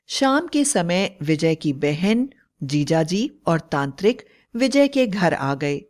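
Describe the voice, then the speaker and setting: A woman's voice: on the low side, very animated, slow, a little mellow. A woman narrating a scripted horror fairy tale in a dramatic storytelling style.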